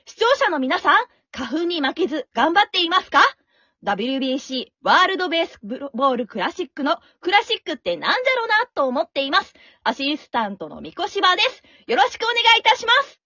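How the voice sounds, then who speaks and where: A polite, upbeat show assistant with a high, bright voice that jumps around, played by a woman. The recording is dry and dull, like a lo-fi home-made radio drama. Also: fast, no room sound, heavily acted. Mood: welcoming. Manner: polite, lively reactions. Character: polite female show assistant, straight man.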